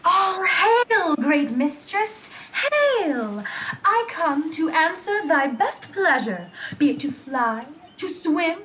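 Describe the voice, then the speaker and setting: A woman playing an eager, spirited sprite of the air in a Shakespearean audio drama, speaking verse in a theatrical, deliberate way. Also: extremely high pitch, big pitch swings, heavily acted. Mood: eager, reverent. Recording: remote recording with faint background noise.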